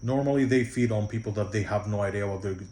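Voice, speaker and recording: A man's voice: fairly low pitch, fast, warm tone, roomy sound, a little hiss or hum. Egyptian-accented man giving loose travel tips. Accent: Egyptian Arabic. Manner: loose, rambling, with many fillers and restarts. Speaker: travel vlogger. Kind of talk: casual travel tips to camera.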